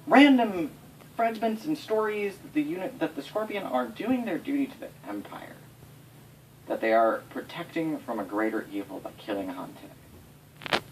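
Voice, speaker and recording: A man recounting game lore to his players in a casual, off-the-cuff way, swearing freely and stressing words for drama. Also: mid-range voice. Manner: sweary, animated, dramatic emphasis. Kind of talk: casual off-the-cuff history telling. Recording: distant mic, noisy room ambience.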